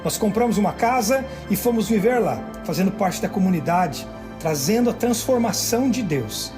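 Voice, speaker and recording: High male voice, earnest testimony voice-over, music bed underneath. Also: expressive, normal speed. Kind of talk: testimony voice-over in a ministry video. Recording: ministry video with music.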